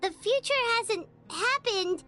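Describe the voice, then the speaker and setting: Tiny squeaky fairy girl, extremely high, swooping intonation, childlike, curious, video game sidekick. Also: expressive, takes it slowly, pushed and bright, exaggerated voice. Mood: puzzled. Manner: refers to self in third person, childlike. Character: tiny childlike fairy companion, curious, cheerful, simple-minded. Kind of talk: scripted video game dialogue. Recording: music under the voice. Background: background music.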